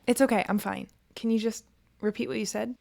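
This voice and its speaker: Young female character, played by an actress: troubled, self-doubting, heavy vocal fry, understated hesitant audio drama dialogue. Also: fairly high pitch, fairly even intonation, fairly quick, mellow. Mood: dazed. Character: troubled, guilt-ridden cloned young woman.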